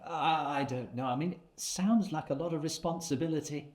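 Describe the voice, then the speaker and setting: A woman's voice: low-pitched, normal speed, a little mellow, natural voice. A woman playing a hesitant, bemused newcomer in a stage comedy, with an understated, unsure delivery. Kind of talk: stage comedy play acting. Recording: roomy, echoey, some background noise.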